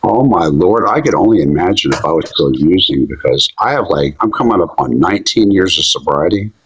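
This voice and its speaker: Man, late fifties, from Philadelphia: low, husky voice with a lively, swinging delivery, blunt and open. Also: a little fast, a little hiss or hum, poor audio. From Philadelphia, USA. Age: 50s. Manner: candid, direct, talkative. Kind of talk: casual live-stream chat.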